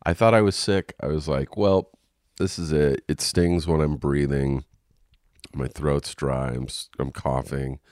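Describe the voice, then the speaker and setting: Congested male podcast host, nasal and gravelly, very low, unhurried rambling delivery. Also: steady tone, a little mellow, no echo, clean studio sound. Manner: rambling, frank, with frequent ums and restarts. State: congested and coughing. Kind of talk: casual solo podcast monologue. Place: home.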